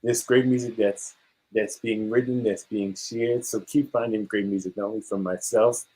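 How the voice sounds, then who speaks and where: A man's voice: fairly low pitch, fairly even intonation, moderate pace, warm tone, breathy edge, low-quality recording. A man from Guyana, a musician chatting casually as an interview guest in an encouraging, easygoing manner.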